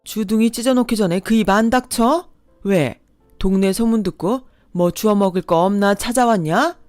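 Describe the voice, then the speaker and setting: A fierce, protective older mother who scolds in a loud, forceful voice, as a woman acts her out. The sound is dry and close, with faint music in the background. This is a storybook mother. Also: mid-range voice, moderate pace, dry recording, lightly acted. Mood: furious.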